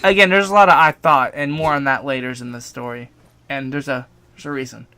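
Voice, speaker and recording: Bright-voiced young man telling a story. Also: mid-range voice, fairly even intonation, fairly quick, no room sound. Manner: informal, swearing, self-deprecating asides. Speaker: storytime video narrator. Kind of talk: casual personal storytelling, partly read from a script.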